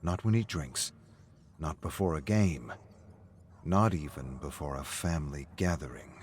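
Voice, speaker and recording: A man's voice: very deep voice, a little slow, slight background noise. A man reading scripted story narration.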